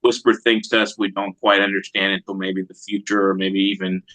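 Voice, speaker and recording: A man speaking as a poet in an interview, reflective and measured in his manner. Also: sits low, flat delivery, sharp tone. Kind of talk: interview answers and poetry reading.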